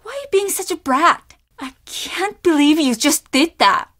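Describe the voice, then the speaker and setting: An actress playing a stern young innkeeper's daughter in a video game, speaking in a measured, formal and easily offended way. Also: female voice, high-pitched, animated, pushed and bright, dry recording, clean studio sound, heavily acted. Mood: angry. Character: guarded, stern young Japanese innkeeper's daughter, polite but curt. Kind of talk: video game character dialogue.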